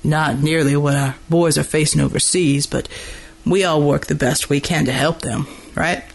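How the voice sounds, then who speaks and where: A man voicing a huge, gruff older dockyard foreman, proud and hot-tempered, with folksy working-class speech, in an audiobook. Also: steady tone, normal speed. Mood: earnest. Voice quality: gruff, rumbling. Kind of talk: audiobook character dialogue.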